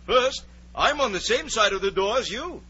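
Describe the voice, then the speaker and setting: A man's voice: sits high, expressive, moderate pace, pushed and bright, breathy edge, full character voice. An actor playing a pompous, muddle-headed fairy-tale footman, formal and pedantic, in 1940s radio drama style. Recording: muffled old radio recording with faint noise.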